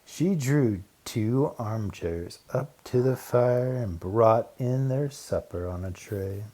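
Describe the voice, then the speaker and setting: A man narrating a children's storybook aloud as an audiobook, with deliberate, dramatic pauses and emphasis. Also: mid-range voice, expressive, mellow.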